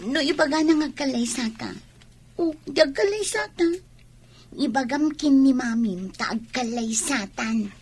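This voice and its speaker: A woman's voice: high voice, expressive, measured pace, a little mellow, husky, full character voice. A young woman with a Filipino accent acting an anxious, scheming student in a comedy radio drama. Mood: insistent, scheming.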